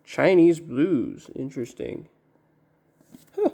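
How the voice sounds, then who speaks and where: Low-key man with a creaky, mellow voice. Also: sing-song. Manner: halting, musing to himself. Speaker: record collector presenting an unboxing. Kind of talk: casual unboxing commentary.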